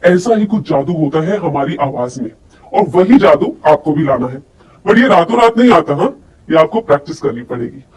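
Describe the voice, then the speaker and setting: A man's voice: a little high, expressive, normal speed, darkish tone. A man giving an instructional lesson as a coach, explaining conversationally and putting questions to his viewers. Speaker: personality development coach. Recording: distant mic with faint background noise.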